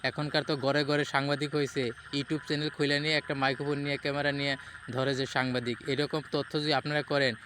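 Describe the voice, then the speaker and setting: A man's voice: mid-range voice, flat delivery, fast, bright, forceful tone, close to an everyday voice. A man with a Bangladeshi accent posing as a reproachful, probing police official on a prank phone call, starting hesitantly. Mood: officious. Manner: questioning, admonishing, hesitant starts. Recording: phone call with faint noise.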